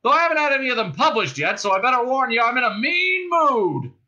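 A man's voice: sits high, big pitch swings, quick pace, muffled recording, voice pushed up, full character voice. A man voicing a self-pitying, gun-happy space cop in a comic sci-fi audiobook, calling out in an earnest, indignant way. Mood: earnest, insistent. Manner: shouting through a bullhorn, earnest and indignant.

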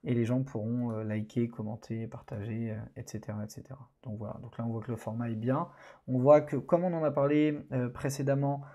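A man talks through a screen-recorded tutorial in a relaxed, hesitant way, with many fillers, as an online course instructor. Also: mid-range voice, a little fast, lo-fi sound.

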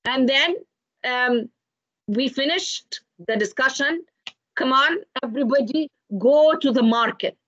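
A middle-aged woman from Egypt, giving an expressive, explanatory online lecture with anecdotes over a video call. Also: sits high, unhurried, dry recording. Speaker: lecturer presenting to teachers. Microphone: video call, computer microphone. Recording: dull, lo-fi video call audio.

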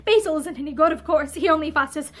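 A woman's voice: high voice, fairly quick, natural voice. A woman voicing an earnest, tearful young woman in a story, outspoken, with an emotional, pleading delivery. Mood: tearful distress.

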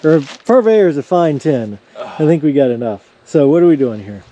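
A man's voice: fairly high pitch, big pitch swings, normal speed, dark tone, highs cut off. A man in his forties bantering playfully while working on a homestead build outdoors. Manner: playful, teasing. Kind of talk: casual chat while working.

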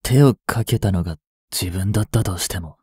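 An actor playing an anguished, devoted young police officer boyfriend in an audio drama, with breathy, halting, emotional delivery. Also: male voice, low voice, darkish tone, dry recording, studio quality. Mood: subdued, grieving. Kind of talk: dramatic Japanese situation voice acting.

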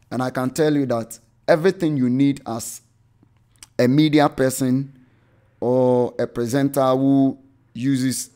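A man's voice: mid-range voice, warm tone. A man giving an unscripted screen-share tutorial, often correcting himself with "I mean" and "um" as he explains. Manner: rambling, self-correcting with frequent "I mean" and "um". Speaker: tech channel host reviewing church software.

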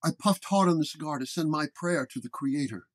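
A man's voice: a little high, fairly quick, lightly acted. An actor playing a weary, wounded 1930s detective who dramatically narrates his case report. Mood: weary, reflective. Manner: dramatic first-person storytelling. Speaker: narrator-performer.

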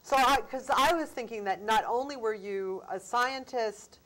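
A middle-aged woman interviewing a guest in a TV studio on a lapel mic, encouraging and quick with short replies. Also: a little high, fairly bright tone. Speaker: interviewer host. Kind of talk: interview conversation. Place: TV studio, seated.

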